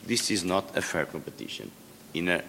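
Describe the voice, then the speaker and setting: Low-voiced man in a reverberant hall. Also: sing-song, fairly quick, vocal fry, sharp tone, a little hiss or hum. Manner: hesitant, many fillers and restarts. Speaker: panel expert. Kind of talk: conference panel remarks. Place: large conference hall. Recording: long-reverb conference hall.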